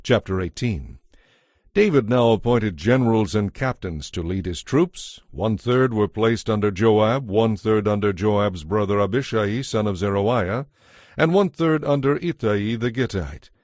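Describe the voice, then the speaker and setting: A man narrating a dramatized audiobook in a measured, solemn storytelling manner. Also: lowish voice, darkish tone, no echo, clean studio sound. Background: faint music.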